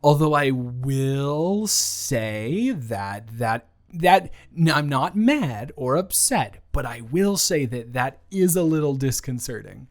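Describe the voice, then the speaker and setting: A man's voice: medium pitch, big pitch swings, takes it slowly, hardly any pauses. A man playing an earnest, flustered ex-boyfriend in an intimate improvised audio roleplay, stammering and trailing off as he talks. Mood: nervous, reassuring.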